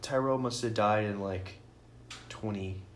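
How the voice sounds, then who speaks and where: A man's voice: lowish voice, measured pace. A man co-hosting a podcast, chatting casually about films in an argumentative, sweary way. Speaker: podcast host. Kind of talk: casual film-fan chat. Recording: echoey room with clear background noise.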